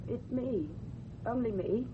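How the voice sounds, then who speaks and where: An actress playing a young homeless runaway, guarded, defensive and curt, in a radio drama. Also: female voice, fairly high pitch, slow pace. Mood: wary. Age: young adult. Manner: curt, clipped answers. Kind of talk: British radio drama acting. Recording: muffled, noisy old radio recording.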